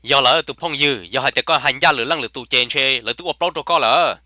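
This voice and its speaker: Man doing a sharp, fast-chattering cartoon guy. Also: medium pitch, no room sound, studio quality. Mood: chatty. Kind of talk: comic cartoon voice acting.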